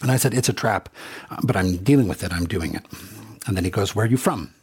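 A middle-aged man from Congo speaks in an earnest, reflective way. His voice is deep and extremely husky. He tells stories and acts out both sides of the exchanges. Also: fast, darkish tone. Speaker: rideshare driver. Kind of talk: personal monologue.

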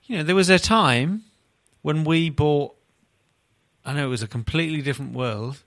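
A man's voice: fairly high pitch, normal speed, a bit bright, no echo. Guy hosting a footy podcast, casual, bit self-deprecating, dry sound no room. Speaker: podcast host. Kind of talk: casual football chat.

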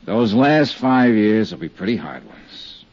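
A man's voice: lowish voice, big pitch swings, a little slow, a little mellow, dry recording. An actor playing a blunt, hard-boiled male insurance investigator who also narrates a 1950s radio drama. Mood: impatient, blunt. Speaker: lead character and narrator. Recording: dull 1950s radio recording.

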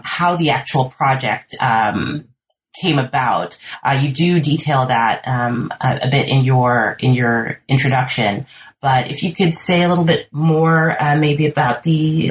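A woman's voice: deep voice, rough recording. A woman hosting a podcast interview, asking long, rambling questions full of fillers. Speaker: podcast host.

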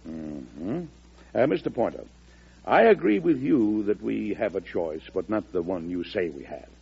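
A husky, resolute American president. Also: male voice, on the low side, very animated, heavily acted. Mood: firm, probing. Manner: deliberate, hesitant starts, probing questions, forceful conviction. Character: firm, plain-spoken, principled old-fashioned male American president. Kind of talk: old radio drama acting. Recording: muffled vintage radio recording.

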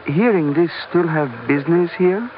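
An actor in a 1940s radio adventure playing an unhurried, turbaned island port official with formal, cryptic, measured phrasing. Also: male voice, a little high, lively intonation, natural voice. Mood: solemn, ominous. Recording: noisy, dull old radio recording.

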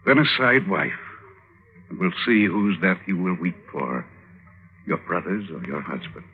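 A proud warrior husband who challenges all comers. His voice is low and husky, his delivery measured and imperious. It comes through a narrow old radio recording. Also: expressive, full character voice. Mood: challenging. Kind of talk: 1950s radio drama acting.

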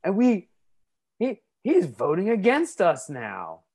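Emphatic man with a high, lively voice. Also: slow pace. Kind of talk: improv comedy sketch.

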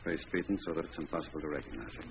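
An actor playing a brusque, matter-of-fact police commissioner in a 1940s radio drama. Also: male voice, rumbling low, very fast, vocal fry. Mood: brisk, blunt. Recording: dull, noisy old radio recording.

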